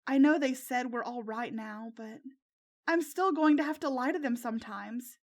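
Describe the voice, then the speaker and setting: A woman voicing an anxious, tender-hearted teenage girl with a soft, earnest, emotional way of speaking in a narrated story. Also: on the high side, moderate pace, natural voice. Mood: guilty.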